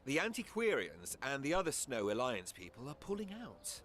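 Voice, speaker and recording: A man playing a guarded, sly spy in a fantasy game, with an evasive, arch and measured manner. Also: medium pitch, wildly swooping pitch, fairly bright tone. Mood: guarded, cool. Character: guarded, sly male spy of an empire's intelligence service. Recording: clear background noise and ambience.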